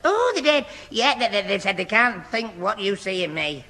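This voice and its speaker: Timid, stammering young boyfriend, bright-toned. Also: male voice, high voice, big pitch swings, normal speed, exaggerated voice. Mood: bashful. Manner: hesitant, stammering repetitions. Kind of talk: 1960s radio sitcom acting. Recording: old 1960s radio recording, echoing hall.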